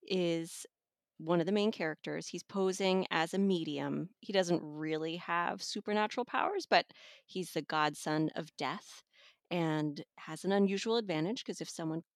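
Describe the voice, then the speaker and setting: A woman's voice: on the low side, normal speed, no room sound, clean studio sound. A woman talking enthusiastically about an audiobook as an interview guest, laughing now and then. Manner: enthusiastic, conversational, laughs often. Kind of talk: casual interview chat.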